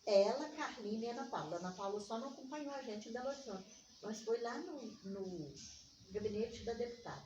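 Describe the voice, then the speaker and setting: An older woman with a Minas Gerais accent, telling personal stories in conversation and acting out remembered dialogue in an animated way. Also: fairly high pitch, a little fast. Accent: Brazilian Portuguese from Minas Gerais. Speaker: interviewee, community organizer. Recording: echoey room, distant mic, dull, faint noise.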